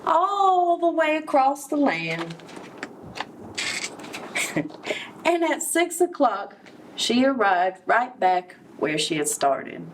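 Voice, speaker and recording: A woman reading a picture book aloud to young children, expressively, pausing to explain and ask questions. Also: high voice, sing-song. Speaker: children's story reader, early education center director. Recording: distant mic with background noise.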